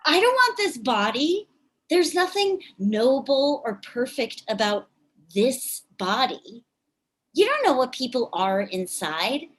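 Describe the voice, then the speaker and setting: A woman's voice: a little high, breathy edge, rough recording. A woman playing a bitter, exasperated, sweary activist in a live staged play reading. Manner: sweary, biting.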